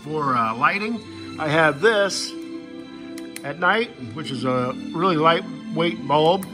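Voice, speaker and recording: Male hiker, slightly high voice, folksy and matter-of-fact, talking through gear off the cuff at home into a phone. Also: pushed and bright. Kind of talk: casual unscripted gear walkthrough. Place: indoors in a home living room. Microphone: handheld phone camera, speaker behind it.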